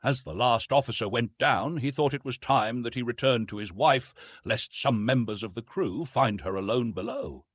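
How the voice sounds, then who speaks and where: A man's voice: medium pitch, normal speed, husky, no echo, studio quality. A man reading a classic adventure novel as a scripted audiobook narration.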